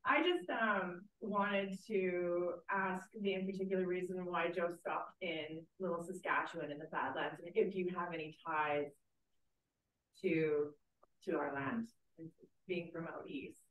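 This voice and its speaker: A woman's voice: lowish voice, measured pace, fairly bright tone. A woman in a book club audience asking the author a question, a little hesitant. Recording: distant, echoey room with faint noise.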